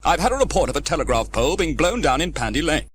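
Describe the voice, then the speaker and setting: A man's voice: on the high side, sing-song, fast, slight background noise, pitched above the performer's own voice. A man voicing a commanding middle-aged fire station officer in a children's storybook, clipped, authoritative and emphatic. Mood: brisk, official.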